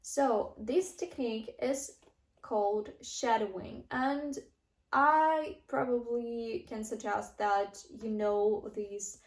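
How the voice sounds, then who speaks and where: Slow-talking Russian woman in echoey room. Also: a little high. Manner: earnest, unhurried, with frequent fillers and restarts. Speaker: English-learning vlogger. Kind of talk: casual teaching monologue to camera.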